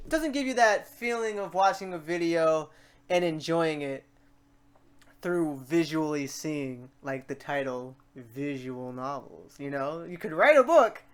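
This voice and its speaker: A man hosting a casual software tutorial, talking off the cuff in an informal, chatty, rambling way and often repeating himself. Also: on the high side, clear, resonant tone. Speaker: host of a software tutorial series. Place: voice-over to a screen recording.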